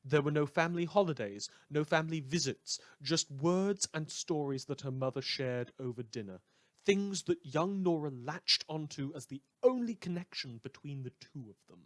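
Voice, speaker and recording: A man's voice: a little high, expressive, normal speed, dry recording, clean studio sound, close to an everyday voice. A man playing a villainous, anarchic radio host who tells stories in a theatrical, dramatic scripted monologue. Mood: solemn storytelling. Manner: theatrical, storytelling with dramatic emphasis.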